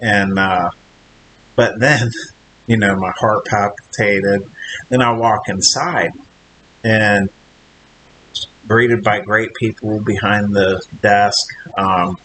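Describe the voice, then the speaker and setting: A man with a nasal, slightly low voice and a drawl talks loosely to his camera, circling back and restarting his sentences as he goes. He is recording in a hotel room, and a steady hiss sits under his voice. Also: slow pace, pauses often, poor audio. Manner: rambling, chatty, frequent restarts and fillers. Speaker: vlogger, trainee truck driver. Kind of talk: casual vlog talk to camera.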